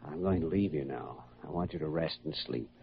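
An actor playing a calm, authoritative American doctor in a classic radio drama, speaking in a measured, reassuring way. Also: male voice, extremely low pitch, gravelly, background noise. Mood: firm, reassuring. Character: calm, authoritative male doctor guiding a hypnotized patient. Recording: dull, lo-fi old radio recording.

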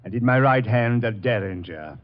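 An actor playing a bitter, cornered middle-aged killer, clipped and threatening, in 1950s radio style. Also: male voice, mid-range voice, expressive, normal speed, lightly acted. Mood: cold, menacing. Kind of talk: 1950s radio detective acting. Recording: muffled old 1950s radio recording.

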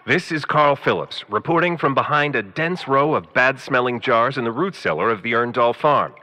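A man's voice: mid-range voice, expressive, normal speed, bright, forceful tone, long reverb tail. A man playing a brash radio reporter in a comedy radio play. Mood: defensive. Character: male radio reporter hiding in a cellar. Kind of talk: comic radio drama acting.

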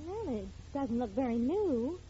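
A firm, scolding housewife and mother in vintage radio comedy. Her voice is high, expressive and mellow, with an exasperated, sensible edge. The old recording is dull and faintly noisy. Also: unhurried. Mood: doubtful. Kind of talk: 1940s-50s radio situation comedy acting.

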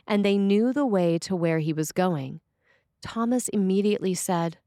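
A woman reading a scripted sermon as a preacher, with measured, deliberate phrasing. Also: mid-range voice, mellow, no room sound, studio quality.

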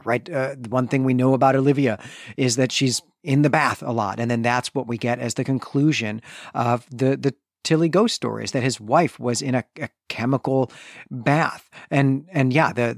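A man talks as co-host of a book discussion podcast. His voice is medium in pitch and recorded dry. He explains in a conversational way, working out ideas aloud through long, winding sentences. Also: no echo. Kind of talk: conversational literary recap and analysis.

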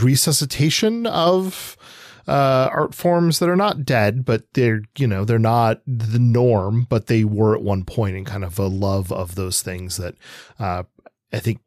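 A man co-hosting a literary podcast, chatting in a halting way as he searches for words and hedges. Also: medium pitch, darkish tone, dry recording, clean studio sound. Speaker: podcast co-host. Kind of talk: literary discussion, conversational.

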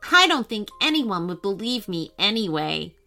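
A woman's voice: medium pitch, big pitch swings, normal speed, creaky, pushed and bright, soft background music, heavily acted. A woman voicing a brave young man in a children's story, speaking firmly but politely. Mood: kind. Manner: firm and polite.

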